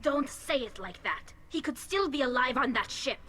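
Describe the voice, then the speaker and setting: Earnest, higher-pitched young alien woman. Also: lively intonation, moderate pace, pushed and bright, exaggerated voice. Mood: upset, defiant. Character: young female alien engineer, earnest, defensive daughter. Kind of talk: video game voice acting. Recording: clear background noise.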